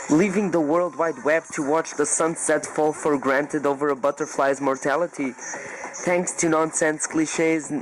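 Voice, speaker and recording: Man narrating poetically over clear noise. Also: on the high side.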